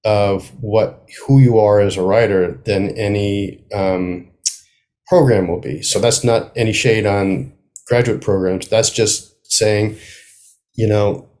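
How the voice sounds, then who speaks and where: A middle-aged man with a somewhat low voice talks reflectively in an interview. He is thoughtful and halting, circling back to rephrase his points as a writer would when explaining his craft. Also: a little mellow. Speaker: guest playwright.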